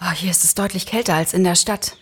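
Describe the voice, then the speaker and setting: A woman narrates a story in at a live reading. Her voice sits a little low and has a distinctly husky grain. The delivery is that of a scripted storyteller. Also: fast talker. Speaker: author and narrator, actress. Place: small theatre, live recording.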